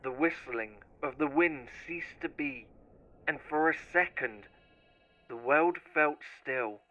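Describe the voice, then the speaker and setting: A man narrating a scripted first-person horror story in a deliberate, theatrical storytelling manner. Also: fairly high pitch, highs cut off.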